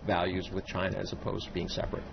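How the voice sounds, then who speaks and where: Gravelly low-voiced man on a panel. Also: completely flat delivery, fast, lo-fi sound, a little hiss or hum. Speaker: panel guest. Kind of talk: studio panel discussion.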